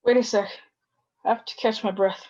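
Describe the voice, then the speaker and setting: A woman's voice: a little high, fairly even intonation, moderate pace, darkish tone, lo-fi sound, lightly acted. A woman reading the part of a sensible ten-year-old boy in casual scripted dialogue. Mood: matter-of-fact.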